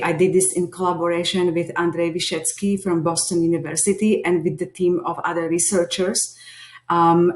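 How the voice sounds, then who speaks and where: Slovak accent lady introducing herself to class, lowish voice, lots of uhs, roomy echo. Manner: earnest, many hesitations. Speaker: teacher lead and behavior analyst. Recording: echoey room.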